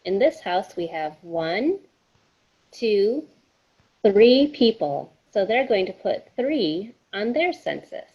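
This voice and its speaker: Woman reading to children, lively and sing-song. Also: medium pitch, slow, husky, lo-fi sound. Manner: sing-song, encouraging, addresses children directly. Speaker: children's story time host reading aloud. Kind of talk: picture book read-aloud for children.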